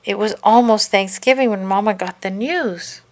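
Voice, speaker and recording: A woman narrating a children's picture book aloud in an expressive, scripted storytelling style. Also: mid-range voice, normal speed.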